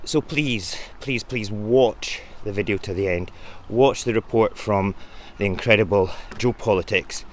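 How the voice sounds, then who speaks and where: A man's voice: lowish voice, measured pace, few pauses, a little hiss or hum. A male podcast host introducing a report in earnest, pleading commentary.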